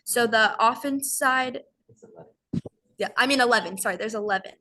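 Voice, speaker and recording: A woman's voice: a little high, normal speed, pushed and bright. A young woman student answering on a video call, unsure and correcting herself.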